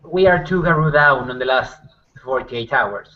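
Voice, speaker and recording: A man playing a plain-spoken, worried werewolf packmate in a tabletop game, talking casually and haltingly. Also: medium pitch, muffled recording, lightly acted. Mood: concerned. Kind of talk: in-character tabletop roleplay.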